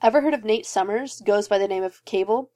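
A woman's voice: mid-range voice, mellow, natural voice. A woman reading a story aloud, voicing a wisecracking male mercenary who rambles from joke to joke. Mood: manic, joking. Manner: fast rambling jokes, breaks into laughter. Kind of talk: fan fiction reading.

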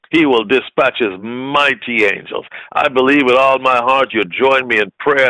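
A man's voice: mid-range voice, sharp tone, no echo, low-quality recording. A man preaching a fervent sermon, emphatic and repeating short phrases for effect.

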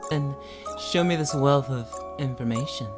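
A man's voice: mid-range voice, normal speed, music in the background, close to an everyday voice. A man playing a sly, teasing, mischievous courtly tempter in a theatrical fantasy audio drama, with an arch, coaxing manner.

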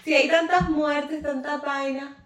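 A woman's voice: sits high, fairly even intonation, a little slow, a bit bright, distant mic. A woman with a Colombian Caribbean coast accent, co-hosting a casual podcast chat, laughing often and teasing. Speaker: podcast co-host. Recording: echoey room, off-mic.

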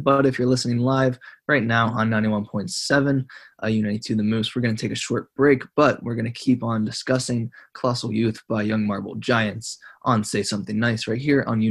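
A young man co-hosting a college radio show, reading a steady station break announcement. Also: mid-range voice, creaky, slightly rough. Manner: brief, steady delivery. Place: radio studio.

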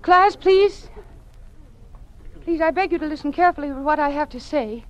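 Earnest high-voiced schoolteacher played by an actress. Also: female voice, lightly acted. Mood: urgent, impassioned. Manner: earnest, dramatic, deliberate pleading. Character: earnest, idealistic, grieving woman schoolteacher, quietly brave. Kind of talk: old-time radio drama acting. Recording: dull, band-limited old radio recording.

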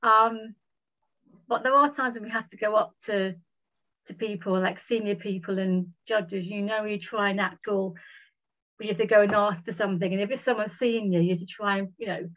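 A woman who stammers, talking haltingly in a casual conversation with repeated words. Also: mid-range voice, steady tone, a bit bright. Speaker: guest sharing experience. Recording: dull lo-fi recording.

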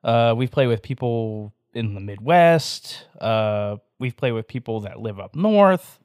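A man's voice: medium pitch, expressive, a little slow, a little mellow, clean tone, no echo, clean studio sound. Rambling young male podcast co-host. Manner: rambling, filler-heavy, self-deprecating jokes. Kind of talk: casual chat.

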